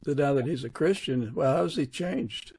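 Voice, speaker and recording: A man's voice: fairly high pitch, unhurried, vocal fry, darkish tone, a little hiss or hum. A man hosting a podcast, talking in a casual interview style. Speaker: podcast host.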